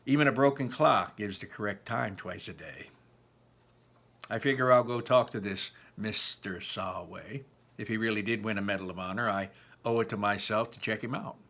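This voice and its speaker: A man reading a novel aloud in an expressive, emphatic, informal way, like a teacher, with personal asides. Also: on the low side, moderate pace, vocal fry.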